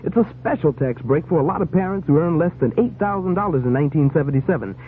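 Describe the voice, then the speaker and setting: Actor as hapless American footballer, tinny radio. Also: male voice, fairly high pitch, lively intonation, fast talker, natural voice. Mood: matter-of-fact. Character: hapless, matter-of-fact pro football player. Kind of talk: 1970s comic public service radio spot. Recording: tinny, hissy 1970s radio.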